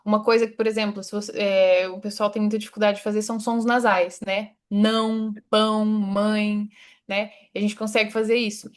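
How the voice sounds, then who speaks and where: A woman's voice: medium pitch, level tone, moderate pace, clean tone. A young Brazilian woman with a Brazilian Portuguese accent, a polyglot guest chatting casually and often tagging her sentences with "né?". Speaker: guest, polyglot content creator. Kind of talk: casual interview chat.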